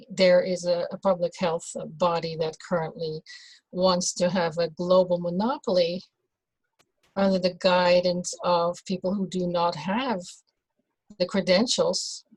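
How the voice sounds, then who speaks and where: Woman, from the Netherlands, interview guest, slow, hesitant, fillers, lowish voice, dry close sound. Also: fairly even intonation, darkish tone, breathy edge, no room sound, poor audio. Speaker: guest, birth and rebirth doula. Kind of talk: casual conversational interview.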